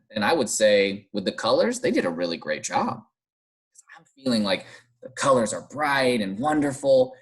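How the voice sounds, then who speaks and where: A male primary teacher reads a picture book to children in an animated, encouraging way. His pitch swings widely and his voice is a little husky, with frequent questions to his young listeners. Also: low-quality recording. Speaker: primary school teacher reading aloud. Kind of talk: expressive children's read-aloud with guiding questions.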